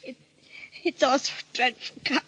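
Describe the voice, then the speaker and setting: An anxious, high-voiced fragile wife. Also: female voice, measured pace, pushed and bright, husky, full character voice. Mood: nervous, contrite. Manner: hesitant, pleading, stammering. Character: anxious, fragile wife doubting her own sanity. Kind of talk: 1940s radio thriller acting. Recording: noisy vintage radio recording.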